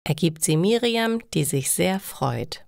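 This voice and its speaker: A woman's voice: fairly low pitch, lively intonation, takes it slowly, vocal fry, a little mellow, no echo, studio quality. A woman reads simple scripted stories for language learners in careful, separated phrases. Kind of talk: scripted storytelling narration for learners.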